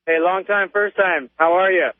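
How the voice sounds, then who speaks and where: A man's voice: high-pitched, normal speed, slight background noise. A man calling a radio show and telling a story in casual, slangy speech. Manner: slangy, informal. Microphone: telephone. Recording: tinny narrow phone line.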